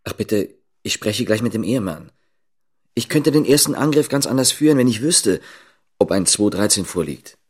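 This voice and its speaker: An actor playing an insistent East German police investigator in a radio drama, asking formal, pressing questions in a slightly husky voice. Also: male voice, lowish voice, expressive, moderate pace, darkish tone.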